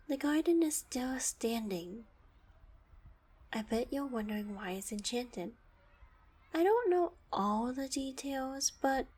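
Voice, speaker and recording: Woman acting a breathy, gentle young queen. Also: on the high side, poor audio. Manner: soft, breathy, dropping into whispered asides. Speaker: voice actor in audio roleplay. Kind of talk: scripted ASMR roleplay acting.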